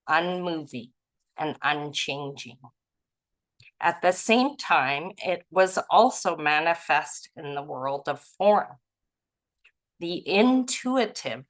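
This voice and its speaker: A woman from Canada leading a spiritual study group, reading passages aloud and musing on them gently. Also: on the low side. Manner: gentle, musing, softly laughing at herself.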